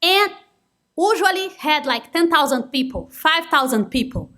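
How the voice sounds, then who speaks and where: A woman's voice: high-pitched, lively intonation, measured pace, sharp tone. A young woman from Brazil with a Brazilian Portuguese accent, giving an earnest conference talk in non-native English. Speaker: conference speaker. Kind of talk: conference presentation.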